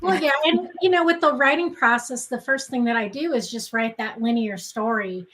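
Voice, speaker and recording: A woman's voice: on the high side, normal speed, a bit bright, low-quality recording. A woman speaking casually as an interviewed writer, explaining her process. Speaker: interviewed screenwriter.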